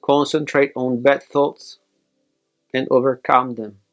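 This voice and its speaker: A man leading a guided meditation as a teacher, giving measured instructions in short, separated phrases. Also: medium pitch, level tone, muffled recording, faint music behind.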